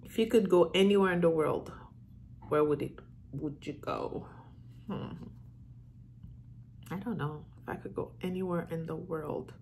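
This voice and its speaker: Haitian lady w a bit of southern accent, deep slow voice, thinking out loud, casual youtube chat. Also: a little hiss or hum. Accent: Haitian with a Southern US tinge. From Haiti, moved to the US as a child and grew up in Florida. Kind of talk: casual chat, answering viewer questions. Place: room in a house, indoors.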